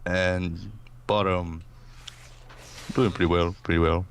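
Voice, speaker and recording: A man's voice: sits low, flat delivery, slow, clear, resonant tone. A man giving casual, wry play-by-play commentary on a video game.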